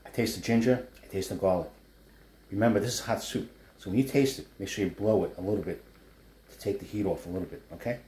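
A man's voice: sits low, quick pace, warm tone, slight background noise. A man in his fifties from New York with a New York accent, chatting casually about his cooking and his small business as he stirs a wok at home. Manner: chatty, rambling asides while stirring a wok. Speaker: chef and food blogger. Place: home living room kitchen setup, indoors. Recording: roomy home sound.

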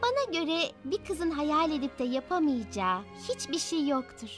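A woman voicing a spirited young girl in a children's cartoon dub, speaking in an expressive, earnest way. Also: sits high, moderate pace. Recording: music behind the voice.

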